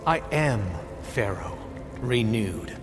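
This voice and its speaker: Slow, pompous fanatical leader. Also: male voice, lowish voice, expressive, noisy background. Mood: zealous, solemn. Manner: solemn, pompous, incantatory. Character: grandiose, fanatical male cult-like leader claiming rebirth. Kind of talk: game cutscene villain monologue. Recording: long hall reverb, music behind.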